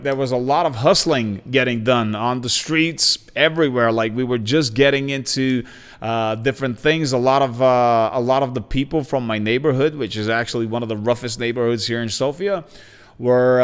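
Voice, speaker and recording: Smooth-voiced man in his forties, echoey. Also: mid-range voice. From Bulgaria. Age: 40s. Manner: energetic, talkative, with frequent fillers and restarts. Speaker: podcast host. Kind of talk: casual self-introduction monologue. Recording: long hall reverb.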